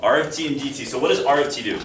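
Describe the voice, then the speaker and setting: A man's voice: medium pitch, very fast, a bit bright. A young man teaching a math lesson to a class in a friendly, encouraging, self-deprecating way. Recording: echoey classroom from far off, noisy.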